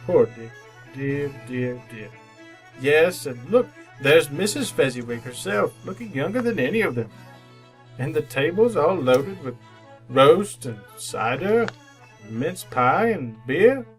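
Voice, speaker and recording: An old miser in a radio play, his fairly high voice full of feeling. He halts and repeats himself, torn between regret and fond memory. Music plays clearly under the voice. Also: very animated, low-quality recording. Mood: delighted, nostalgic. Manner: emotional, halting, repeating words. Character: old miser moved to remorse and wistful joy by his past. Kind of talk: old-time radio drama acting.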